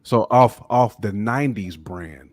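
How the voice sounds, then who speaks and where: An American man with a fairly low voice talks as a panelist on a music podcast. He is casual but opinionated, leaning on key phrases and repeating them for emphasis. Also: a little slow, no room sound. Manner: emphatic, repeats his points. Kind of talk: casual chat, opinionated discussion.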